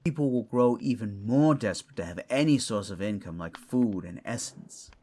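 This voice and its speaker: A man's voice: lowish voice, expressive, normal speed, darkish tone, slightly rough. A man playing a roguish, scholarly furred creature in a fantasy audio drama, grumbling and explaining theatrically. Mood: earnest storytelling. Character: furred, clawed male creature, a roguish scholarly artifact-tinkering steward.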